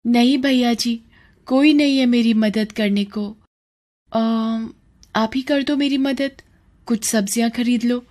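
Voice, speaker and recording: A woman's voice: fairly high pitch, fairly even intonation, slow, darkish tone. A woman voicing an earnest, poor little vegetable-seller girl in a story, childlike, polite and pleading. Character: earnest young poor girl selling vegetables, cheerful but tearful.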